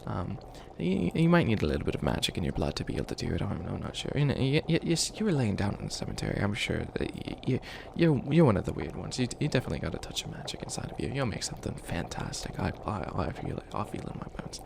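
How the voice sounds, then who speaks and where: A man's voice: fairly low pitch, very animated, quick pace, creaky, few pauses, background noise, exaggerated voice. A man voicing an ancient bunny witch, a failed villain who is flustered and kindly, rambling and stammering through a comedic ASMR roleplay. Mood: helpful, encouraging. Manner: rambling, stammering, apologetic, trails off. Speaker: mentor to a new apprentice.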